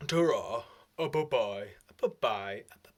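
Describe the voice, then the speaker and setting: A man's voice: medium pitch, lively intonation, unhurried. A man vlogging alone, rambling and laughing as he slips in and out of put-on voices and accents. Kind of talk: rambling solo vlog.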